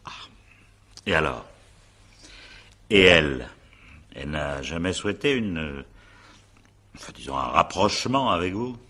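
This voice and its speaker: Very deep-voiced, husky examining magistrate. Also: male voice, animated, unhurried, pauses often, sharp tone, exaggerated voice. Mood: probing. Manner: hesitant, probing, politely ironic. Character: shrewd, patient male examining magistrate questioning a suspect. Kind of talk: French radio crime drama acting.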